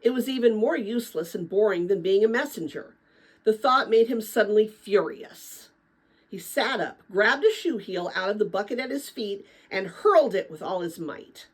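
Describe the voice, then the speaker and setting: A woman reading a children's book aloud in a dramatic, expressive style, with a chatty manner. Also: medium pitch, normal speed, breathy edge. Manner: expressive, chatty when commenting to listeners. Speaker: read-aloud narrator.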